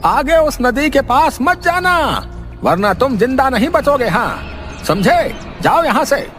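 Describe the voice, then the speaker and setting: A cartoon's mad old man barks and stretches his warnings slowly, shrill and furious. The voice sits high for a man. Soft background music plays behind him. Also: sing-song, heavily acted. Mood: angry warning. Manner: shouting threats, drawn-out slow warnings. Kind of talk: cartoon storybook voice acting.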